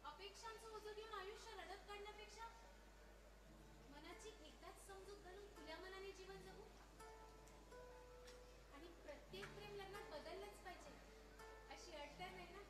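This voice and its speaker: An actress plays a strong-willed young woman disillusioned in love, with an extremely high voice and an earnest, dramatic stage delivery. She is heard from a distance in an echoey space, with loud music. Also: moderate pace, long pauses. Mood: wistful. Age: young adult. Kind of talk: one-act stage play dialogue.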